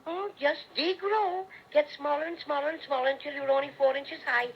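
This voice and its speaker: Cinnamon Bear, a gravelly little Irish teddy bear. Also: male voice, very high voice, steady tone, a little fast, a little hiss or hum. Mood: friendly. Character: small cheerful male teddy bear, slightly Irish. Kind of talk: 1930s radio play acting. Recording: dull lo-fi vintage radio recording.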